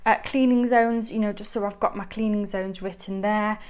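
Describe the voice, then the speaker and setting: A woman's voice: mid-range voice, steady tone, normal speed, darkish tone, clear, resonant tone. A young woman with a Manchester accent, chatting enthusiastically as she shows her handmade planner notebook on camera. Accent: Mancunian. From Manchester, England. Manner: chatty, enthusiastic, laughs often. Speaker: planner vlogger. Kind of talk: casual show-and-tell chat.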